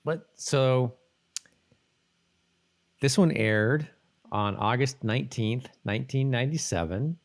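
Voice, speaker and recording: A man hosting a podcast in casual chat, talking in a halting, rambling way and often correcting himself. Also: lowish voice. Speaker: podcast host.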